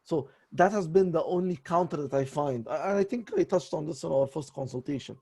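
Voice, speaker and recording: A raspy man speaks in a halting, apologetic way, doubling back on his words again and again. His voice is dark and gravelly, sitting a little higher than most men's, with a husky edge throughout. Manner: apologetic and halting, many restarts. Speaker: voice student. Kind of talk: voice lesson conversation.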